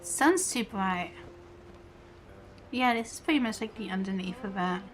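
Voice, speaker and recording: A woman's voice: mid-range voice, expressive, measured pace, soft background music. A woman game streamer giving casual, chatty live commentary, rambling along with her train of thought.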